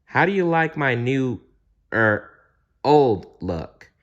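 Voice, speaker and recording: A man voicing an excitable, sentimental, goofy genie in a theatrical, exclamatory way for a children's storybook. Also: mid-range voice, slow pace, muffled recording, pitched above the performer's own voice. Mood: proud.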